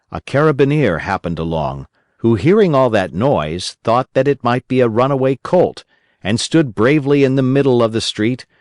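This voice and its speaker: A man reading a children's story as an audiobook narrator, in a gentle, expressive storytelling manner. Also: mid-range voice, no echo, clean studio sound.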